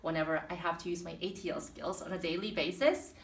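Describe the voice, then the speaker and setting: A woman's voice: fairly low pitch, quick pace. A woman from the Philippines and Guam in her thirties, a teacher answering interview questions thoughtfully with many fillers and restarts. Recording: echoey room, mic at a distance.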